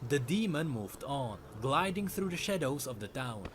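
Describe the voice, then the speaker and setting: A man narrating a dark fantasy story from a script in a dramatic storytelling style. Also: mid-range voice, sing-song, normal speed, background noise. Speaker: lore narrator.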